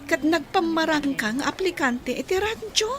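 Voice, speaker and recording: High-voiced coy young sweetheart played by a woman. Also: expressive, normal speed, fairly bright tone. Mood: teasing. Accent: Ilocano. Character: teasing, tender young sweetheart. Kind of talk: Ilocano radio drama acting.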